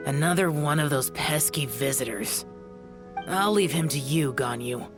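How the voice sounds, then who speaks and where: A man's voice: a little high, slow pace, loud music behind the voice. A man voicing an aloof young male immortal mentor in an anime game, curt, weary and stern. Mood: stern, annoyed.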